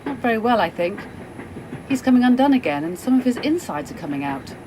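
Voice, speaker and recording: Woman acting kindly, animated lady passenger. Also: fairly quick. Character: Carol, kindly, well-spoken, concerned lady passenger. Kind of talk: amateur radio play acting. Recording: noisy, train carriage rumble behind.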